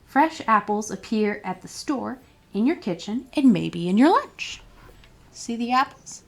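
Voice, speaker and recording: Woman reading aloud with lively, swooping pitch. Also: unhurried, vocal fry. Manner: expressive, addressing young listeners with questions. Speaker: children's librarian reading storytime. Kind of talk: read-aloud of a children's picture book.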